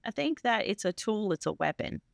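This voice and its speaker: A woman's voice: mid-range voice, sing-song, brisk, creaky, a little hiss or hum. A woman interview guest giving casual personal testimony in an earnest, emphatic way.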